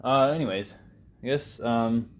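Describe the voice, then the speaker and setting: A man's voice: medium pitch, unhurried. A man giving casual, unscripted, hands-on commentary as a vlog host, rambling and self-deprecating, recorded in a basement workspace. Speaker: host of an electronics repair vlog.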